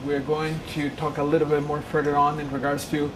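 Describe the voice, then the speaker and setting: A woman's voice: low-pitched, completely flat delivery, a little fast. Woman, lecturer, earnest, second-language English, humming noisy room. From Peru. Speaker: guest lecturer. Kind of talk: public lecture with slides.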